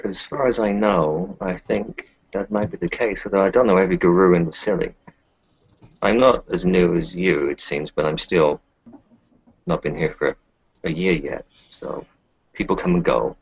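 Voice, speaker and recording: A man speaking casually as a player in a tabletop roleplaying game, with a gravelly voice. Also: low-pitched, fairly even intonation, moderate pace, no room sound. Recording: dull lo-fi voice recording.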